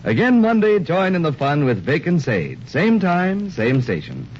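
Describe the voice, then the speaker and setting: A man's voice: on the high side, huge pitch swings, unhurried, a little mellow. A man with an American accent reading a scripted 1940s radio commercial as an announcer. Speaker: advertising announcer. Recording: dull, noisy vintage radio recording.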